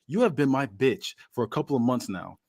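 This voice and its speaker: A man's voice: mid-range voice, sing-song, brisk, a little mellow, no echo, studio quality. Man playing a mocking, sadistic wrestling villain. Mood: taunting. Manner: theatrical, taunting, profane.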